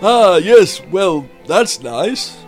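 Man as a smug mad doctor, high and swooping, unhurried, theatrical. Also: sing-song, music in the background, exaggerated voice. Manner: theatrical and sing-song, smug. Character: scheming, greedy mad doctor, male adult.

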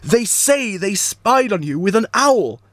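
A man's voice: sits high, very animated, measured pace, fairly bright tone, no echo, exaggerated voice. A man voicing a panicky, eccentric mad scientist in a comedy audio sketch, melodramatic and exclamatory. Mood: explaining.

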